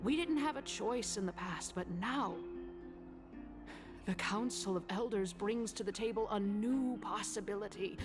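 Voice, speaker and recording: A woman playing a stately, scheming noble orator in a story game, speaking in a measured, theatrical, formal way. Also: medium pitch, over music, close to an everyday voice. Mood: silkily persuasive. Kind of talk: dramatic game voice acting.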